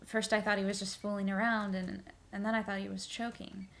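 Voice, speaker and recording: A woman's voice: mid-range voice, flat delivery, brisk, vocal fry, natural voice. A woman playing an earnest witness in an audio drama, speaking hesitantly and conversationally as she recounts events to an investigator. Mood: candid, troubled. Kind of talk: audio drama interview testimony. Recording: faint background noise.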